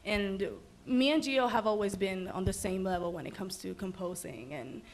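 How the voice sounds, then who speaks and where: A woman's voice: medium pitch, moderate pace, slight background noise. A young woman from the Dominican Republic, a musical guest chatting casually in an interview, upbeat and full of ums. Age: young adult.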